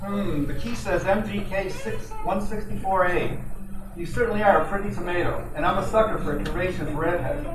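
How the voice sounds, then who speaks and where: A man's voice: high-pitched, brisk, very noisy, natural voice. Guy doing a wisecracking noir private eye, old radio show style, kinda far from the mic in an echoey room. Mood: suspicious, sardonic. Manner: wry, slangy tough-guy talk. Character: wisecracking, flirtatious hard-boiled male private detective. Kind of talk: old-time radio detective drama, noir narration and dialogue. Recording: roomy, distant, music behind.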